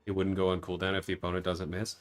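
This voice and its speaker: Man, lower voice, casual game streamer, conversational and argumentative, faint music behind. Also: monotone, fast talker. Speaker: livestreamer and game player. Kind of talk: casual livestream gameplay chat.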